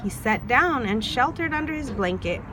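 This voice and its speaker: A woman reading a children's folktale aloud in an expressive storytelling way, putting questions to the listener. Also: on the high side, moderate pace, noisy background. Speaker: storybook reader, a mother.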